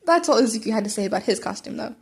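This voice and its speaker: A woman with a fairly high voice reads fan fiction as an amateur narrator. She tells it like a homemade audiobook, sometimes tripping on a phrase and reading it again. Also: animated, rapid-fire, creaky, darkish tone, slightly rough. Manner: stumbles and rereads phrases.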